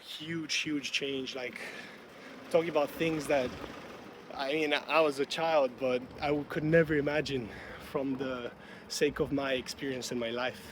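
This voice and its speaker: A man's voice: medium pitch, fairly even intonation, unhurried. Brazilian man vlogging on a noisy street. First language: Portuguese. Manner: musing, chatty, laughs often. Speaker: student vlogger. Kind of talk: casual reflective vlog. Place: city street outdoors. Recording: street noise behind the voice. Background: traffic and city street ambience.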